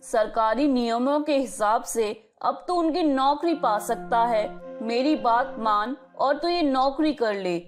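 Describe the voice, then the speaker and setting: A woman acting as a high-pitched middle-aged mother from a cartoon moral story. She is doting but pragmatic, talks slowly and coaxes in a homely way over faint music. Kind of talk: animated Hindi moral story dialogue.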